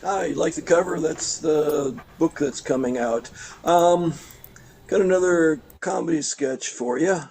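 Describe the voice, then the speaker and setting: A man introducing and narrating his own comedy sketch in a casual way, with a creaky voice. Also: fairly high pitch, a little slow, darkish tone.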